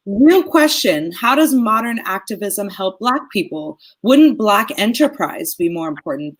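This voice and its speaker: A woman's voice: mid-range voice, a bit bright. A woman hosting a live talk show, reading viewer comments and then giving her own view in a casual way.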